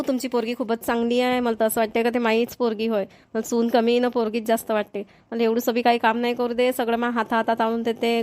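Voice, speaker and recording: A woman's voice: on the high side, darkish tone, natural voice. A woman playing a kindly, talkative older mother-in-law in a village cartoon, with a rural Maharashtrian Marathi accent and homely manner. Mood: fond, praising. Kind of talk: Marathi cartoon family comedy voice-over.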